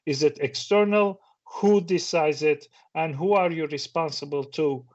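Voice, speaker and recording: A man's voice: a little high, a little slow. A middle-aged man whose first language is Turkish, giving a reflective conference talk that poses questions to the audience, with occasional restarts. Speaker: conference speaker. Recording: dull lo-fi sound.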